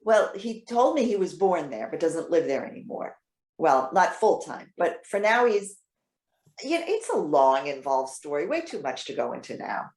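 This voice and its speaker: A woman playing a trusting, excitable elderly widow in readers theater, talking in a chatty, halting, earnest way. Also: deep voice. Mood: flustered. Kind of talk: readers theater stage acting. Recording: roomy, echoing sound.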